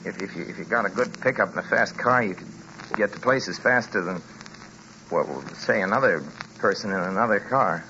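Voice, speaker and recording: An actor playing a jittery, haunted driver in a 1940s radio drama, stammering and halting as he talks. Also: lowish voice, lightly acted. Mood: uneasy, probing. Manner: stammering, halting, rising to panicked shouts. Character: jittery haunted male driver, adult man. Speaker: driver and first-person narrator. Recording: dull hissy old radio broadcast.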